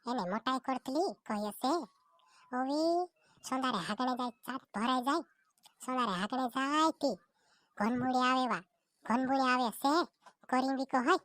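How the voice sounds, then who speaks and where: Woman doing a high-voiced young village wife, chatty and complaining. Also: moderate pace, long pauses, background noise, natural voice.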